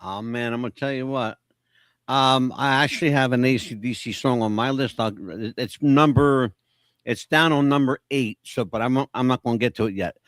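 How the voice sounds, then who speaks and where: A man's voice: mid-range voice, normal speed, a bit bright, no room sound. A man co-hosting a podcast, telling chatty, self-deprecating stories about songs from his past. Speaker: podcast co-host. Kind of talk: casual chat about favorite songs.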